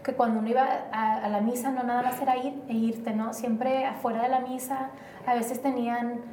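Woman, mellow voice in a roomy space. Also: fairly high pitch, level tone, normal speed. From Mexico. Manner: rambling, hesitant, many fillers. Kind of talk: casual interview conversation. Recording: roomy, distant, faint noise.

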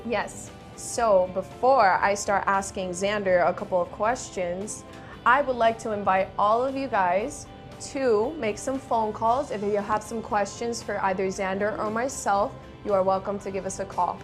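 A woman speaks as the host of a casual interview. Her voice sits at an average pitch and she talks in a chatty, encouraging way. Music plays faintly behind her. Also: fairly even intonation, measured pace.

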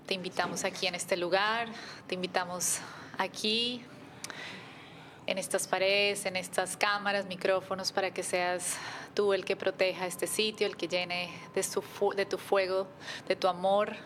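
A woman hosting a casual interview, enthusiastic and warm, who opens with a fervent prayer. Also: medium pitch, level tone, a little slow, slight background noise. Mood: prayerful. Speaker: host.

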